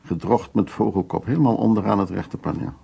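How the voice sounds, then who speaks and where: An actor playing a worldly, lonely, insistent suitor in a naturalistic radio drama, musing and teasing in a husky, gravelly voice. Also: male voice, fairly low pitch, fast, dark tone, full character voice. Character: worldly, insistent, lonely man courting a younger woman.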